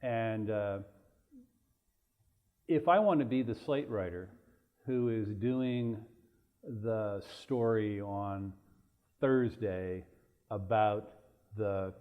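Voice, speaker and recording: Brief: male moderator, low voice, hesitant phrasing, muffled lo-fi sound. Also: smooth. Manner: hesitant, halting questions. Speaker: workshop moderator. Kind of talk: moderating a panel.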